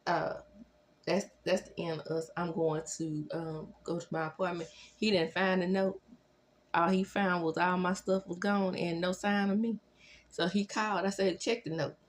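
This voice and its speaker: Sassy low-pitched woman recapping reality TV. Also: moderate pace. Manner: animated, sassy, gossipy, slangy, acts out quotes. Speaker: host of a reality TV recap review. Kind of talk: casual chatty commentary with personal storytelling.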